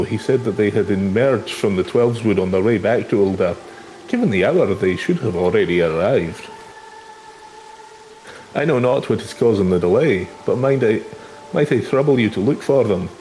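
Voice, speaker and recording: A man's voice: lowish voice, animated, normal speed, darkish tone, slight background noise, lightly acted, low-quality recording. A man voicing a gracious, enigmatic game minstrel who speaks in formal, archaic phrases. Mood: gracious, measured.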